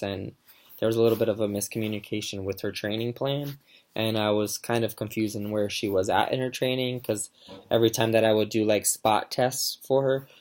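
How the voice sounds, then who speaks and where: Hesitant young man, low voice. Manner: casual and hesitant, many ums and restarts. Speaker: student presenter. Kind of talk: recorded class presentation.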